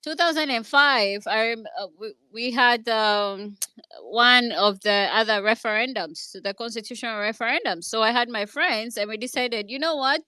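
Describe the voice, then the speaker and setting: A woman's voice: fairly high pitch, normal speed, sharp tone, clean tone, no room sound, low-quality recording. A woman with a Kenyan accent telling a personal story in an online discussion, earnest and hesitant, with many restarts. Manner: earnest, speaks from her own experience. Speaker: speaker in an online audio discussion.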